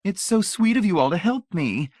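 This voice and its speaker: A gushing, syrupy matron with a sweet menace under every word, as a male narrator puts her on. She sings her words high and bright and sweeps through a wide, lively range. The voice sits close and dry, with no room around it. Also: normal speed, no echo. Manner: gushing, syrupy and matter-of-fact.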